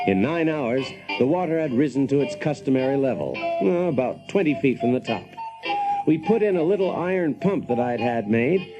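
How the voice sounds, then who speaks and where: A man's voice: a little high, muffled recording, natural voice. A man playing a brash, wry engineer in a radio drama, telling his story with dry, folksy self-assurance. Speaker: protagonist and narrator. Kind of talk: dramatized first-person narration, radio drama.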